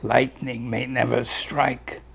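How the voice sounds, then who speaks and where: A man's voice: mid-range voice, level tone, slow, vocal fry, a loud crowd, pitched below the performer's own voice. A man voicing a jolly, whooping old doctor in a hat, in a sing-song rhyming delivery. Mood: jovial.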